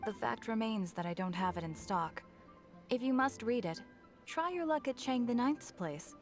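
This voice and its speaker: A woman's voice: fairly high pitch, expressive, moderate pace, faint music behind, close to an everyday voice. A woman playing a matter-of-fact female bookshop owner in a game. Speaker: game character.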